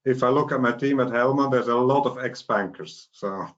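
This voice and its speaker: A middle-aged man with a Swiss accent, a non-native English speaker, talking carefully and haltingly as a finance executive guest on a business webinar. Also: medium pitch, dull audio. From Switzerland. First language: not English. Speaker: chief financial officer, guest.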